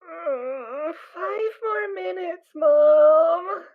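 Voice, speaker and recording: A woman's voice: extremely high pitch, very slow, exaggerated voice. A woman voicing a cheerful, scatterbrained little filly pony who sounds dreamy and easily distracted. The audio is tinny and phone-like. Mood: drowsy.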